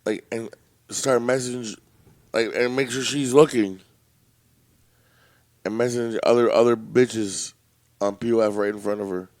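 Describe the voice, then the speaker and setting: A man's voice: medium pitch, fairly even intonation, measured pace, long pauses, a little mellow, no room sound. A young man co-hosting a podcast, blunt and boastful, swearing freely in casual banter. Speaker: podcast co-host.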